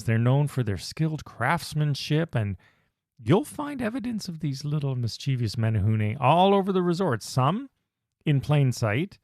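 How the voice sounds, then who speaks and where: A man's voice: mid-range voice, a little mellow, dry recording, clean studio sound. A man co-hosting a podcast in casual chat, chatty and enthusiastic, laughing often. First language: not Hawaiian. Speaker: podcast co-host.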